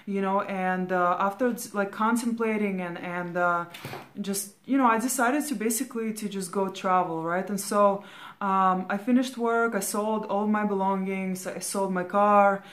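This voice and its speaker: A woman's voice: mid-range voice, steady tone, unhurried. A woman in her thirties, from Latvia, giving casual spiritual advice in a rambling monologue, ending nearly every phrase with "right?" or "okay?". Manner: rambling, with many fillers and restarts. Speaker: spiritual vlogger.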